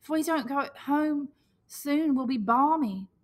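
Storybook role: anxious, indignant young boy, higher voice, earnest delivery, performed by a woman. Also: unhurried, a little mellow. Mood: urgent. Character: anxious, indignant, dignified young schoolboy stranded on an island. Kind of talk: storybook character reading.

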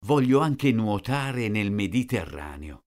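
A man slowly reading out short sentences, each one twice, for a language lesson. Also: on the low side, very animated, darkish tone. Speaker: language teacher. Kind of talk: slow repeated phrase reading.

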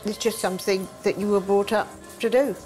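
A woman's voice: mid-range voice, steady tone, a little fast. An older woman recalling housework as a neighbour, talking in a practical, matter-of-fact way in a kitchen, with music playing underneath. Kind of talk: casual chat. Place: period-style kitchen, indoors. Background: soft music.

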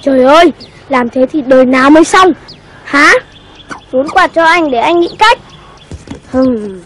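A woman's voice: high-pitched, lively intonation, unhurried, background noise. A woman voicing a cocky, scheming schoolboy in a cartoon, bossy, boastful and given to exclaiming. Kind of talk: cartoon voice acting.

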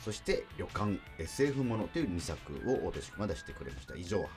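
Male radio DJ, low-pitched and expressive, mixing announcements chat, clear music bed. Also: brisk. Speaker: radio show host, actor. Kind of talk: scripted radio hosting with casual chat. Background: background music.

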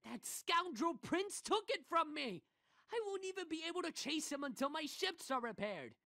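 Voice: high voice, very animated, normal speed, bright, forceful tone, exaggerated voice. An actor playing a pompous, melodramatic, grandiose mayor in a video game cutscene. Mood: wailing. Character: pompous, theatrical, grandiose mayor of a cartoon kingdom.

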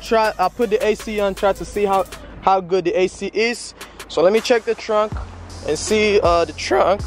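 A man's voice: high-pitched, moderate pace, faint music behind. A young man in his twenties vlogging from an outdoor car auction lot with a handheld camera, giving casual hustle advice and calling people "man" and "bro". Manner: preachy hustle talk, repeats "man" and "bro". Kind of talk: casual vlog walkthrough. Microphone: handheld camera at arm's length.

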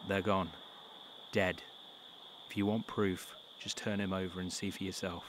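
A man acts out a hard-headed male survivor in an audio drama. His low voice is blunt and commanding, set in clearly noisy night ambience with crickets. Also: flat delivery, measured pace. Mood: stern, insistent.

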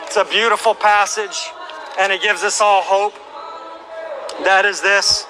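A man in his thirties preaching in the open air with a handheld microphone, reading scripture slowly and emphatically and rising to shouts. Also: high-pitched, steady tone, a crowd in the background, low-quality recording. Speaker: street preacher. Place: outdoor plaza by historic buildings. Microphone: handheld microphone through a speaker.

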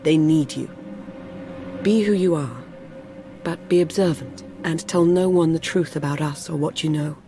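An actress playing a cool, shrewd Imperial intelligence officer, speaking in a dry, measured way. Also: female voice, deep voice, dark tone, soft background music. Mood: firm, earnest.

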